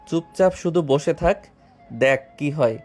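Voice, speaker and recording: A man playing a calm, fearless young student hero in an audio story, with a composed, defiant manner. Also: fairly high pitch, a little slow, soft background music, close to an everyday voice. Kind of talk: dramatized audio story acting.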